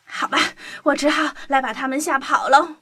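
A woman telling a children's story in a playful, animated first-person voice. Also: high voice, normal speed, heavy background noise. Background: background music.

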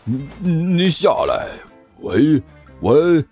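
A man's voice: a little high, very animated, soft background music, voice pushed up. A man voicing a self-styled great demon king in a comic story, blustering and indignant, stammering and dragging out his words. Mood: furious, challenging. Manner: heavy stammer, drawn-out shouted words.

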